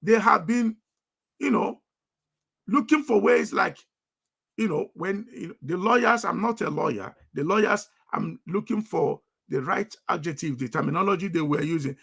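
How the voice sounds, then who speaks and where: Forceful gravelly man broadcasting. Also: high voice, lively intonation, moderate pace. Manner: forceful and commanding, emphatic repetition. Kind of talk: impassioned live broadcast.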